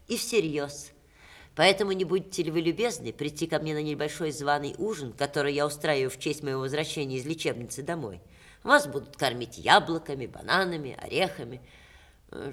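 Grand, teasing middle-aged lady of the stage. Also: deep voice. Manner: grand, expressive, teasing, with dramatic pauses. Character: witty, proud, theatrical middle-aged actress, affectionately teasing. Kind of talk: 1960s Russian stage radio play, letters performed aloud.

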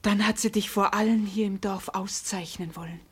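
An actress playing an anxious, plain-spoken ageing peasant wife in a German radio play, with a concerned manner. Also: female voice, mid-range voice, fairly even intonation, normal speed, breathy edge. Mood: astonished. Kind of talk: 1950s German radio drama acting.

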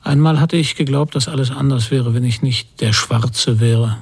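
An actor playing a haunted middle-aged father, recalling his violent childhood in a brooding radio drama monologue with a gravelly, husky voice. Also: mid-range voice, normal speed.

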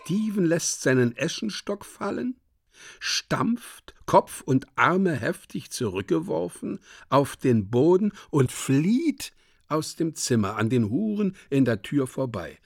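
High-pitched, very animated dramatic narrator. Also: male voice, creaky, no room sound, studio quality, full character voice. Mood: grave, dramatic. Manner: deliberate, emphatic, theatrical. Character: vivid, theatrical stage-direction narrator, relishing grotesque detail. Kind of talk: dramatic literary radio play narration.

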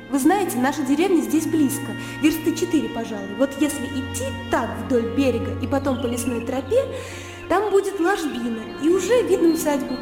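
High-voiced spirited young gentlewoman. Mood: confident, bright. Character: spirited young country gentlewoman, candid and lively. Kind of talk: radio play acting, animated storytelling. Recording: echoey reverberant studio, music behind. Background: music.

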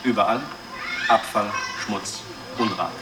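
A man's voice: lowish voice, slow. Sober male documentary narrator, distant. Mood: sober, factual. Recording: distant voice under loud music.

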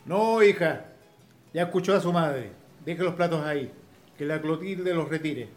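A stern middle-aged Chilean patriarch played by a man in radio theatre. His voice is high for a man and bossy, ringing with strong room echo. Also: a little slow, faint music behind, natural voice. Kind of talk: radio drama acting. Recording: echoey room.

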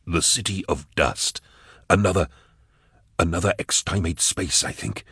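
Man playing a slow, low, grimly obsessed mastermind. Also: fairly bright tone, breathy edge, no echo, studio quality, full character voice. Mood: cold, determined. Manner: slow, terse, deliberate, cold. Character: grim, obsessed, unyielding male mastermind speaking mentally.

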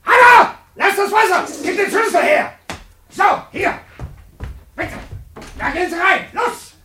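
A man's voice: extremely high pitch, expressive, a little slow, sharp tone, a little hiss or hum, heavily acted. An actor playing an irate, indignant middle-aged father who blusters and accuses in a radio drama. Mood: furious. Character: irate, indignant household head, father of a grown son.

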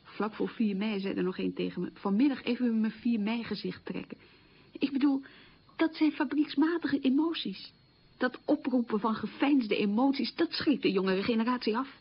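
A gravelly, weary middle-aged woman. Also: on the high side, moderate pace, highs cut off. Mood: indignant. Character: opinionated, weary schoolteacher mother marked by the war. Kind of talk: radio drama monologue, conversational confession.

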